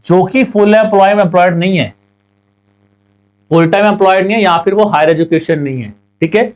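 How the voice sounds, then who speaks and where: A young Indian man with a slightly high voice and a sore throat runs an exam prep class. He reads each question slowly in English. Then he speeds up and explains the answer in a quick mix of Hindi and English. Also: darkish tone. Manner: mixes Hindi and English, slow when reading questions aloud, fast when explaining answers. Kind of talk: online class solving quiz questions.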